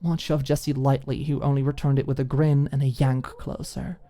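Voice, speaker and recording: A woman reads a story as an ASMR audiobook narrator. Her voice sits low and has a soft, mellow tone, with the measured delivery of scripted fiction. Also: level tone.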